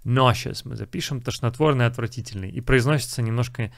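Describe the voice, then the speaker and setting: A man's voice: mid-range voice, brisk, gravelly, dry recording, clean studio sound. A young man, an English teacher, casually explaining film lines and repeating English phrases.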